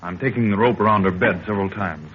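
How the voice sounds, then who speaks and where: A man's voice: fairly low pitch, fast, lightly acted. An actor playing an anxious, earnest young expedition companion who speaks deferentially to his elder in an old radio serial. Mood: earnest, wary. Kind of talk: 1930s radio adventure acting. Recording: muffled, hissy 1930s radio recording.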